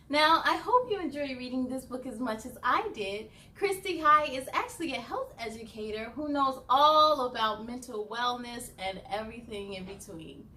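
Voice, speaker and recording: A woman's voice: high voice, sharp tone, a little hiss or hum. A woman hosting a children's read-aloud, speaking encouragingly and directly to young listeners between storybook passages. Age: adult.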